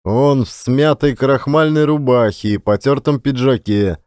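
A man narrating a literary story as an expressive audiobook performer. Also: mid-range voice, measured pace, no echo, studio quality.

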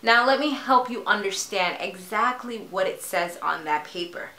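A woman playing a grieving, defensive mother in a halting, emotional dramatic monologue addressed to a doctor. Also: medium pitch, sharp tone. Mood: bitter, wistful. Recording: echoey room.

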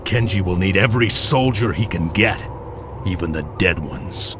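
An actor playing a wary, honorable samurai in a fantasy game, serious and grim in manner. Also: male voice, low voice, lively intonation, moderate pace, noisy background. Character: wary, honorable male samurai warrior of Serpent clan. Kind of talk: video game cutscene acting. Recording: music behind the voice.

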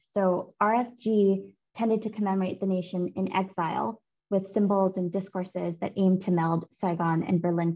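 A woman in her thirties giving a seminar talk on her research over a video call, in a clear, measured academic delivery. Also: on the low side, darkish tone. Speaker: seminar speaker, author presenting research. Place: home room. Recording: muffled video call audio.

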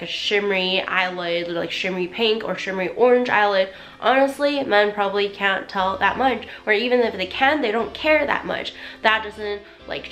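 A woman in her twenties talking to camera in a bedroom, animated and chatty with lots of fillers, her voice half gone. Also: mid-range voice. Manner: animated, chatty, gestures a lot, many "like" fillers. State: losing her voice. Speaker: vlogger. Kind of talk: casual talk to camera. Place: small bedroom indoors.

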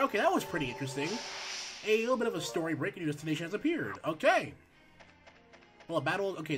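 A man hosting a let's play, reading game text aloud and chatting casually while his voice is going hoarse. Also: sits high. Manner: reads on-screen text aloud, relaxed asides. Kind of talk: casual gameplay commentary.

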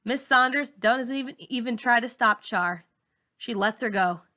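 A woman's voice: on the high side, measured pace, bright, forceful tone. A woman narrating a first-person novel aloud, as a teacher reads to a class.

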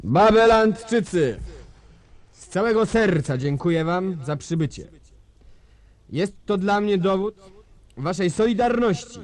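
A puffed-up general dictator, high voice, big declamatory speeches, radio play style. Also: male voice, expressive, a little slow, pauses often. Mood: fervent, bombastic. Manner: pompous, declamatory oratory. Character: bombastic male dictator general rallying his nation. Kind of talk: theatrical political speech.

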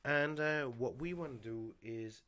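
A man in his thirties chats loosely as host of a home podcast, close on a studio mic in a dry little room. He curses freely and laughs between phrases, with a voice of middling pitch. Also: unhurried, no room sound. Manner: swears often, laughs a lot, animated hand gestures. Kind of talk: casual podcast chat. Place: small home studio with foam panels. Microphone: headphones and studio mic on boom arm.

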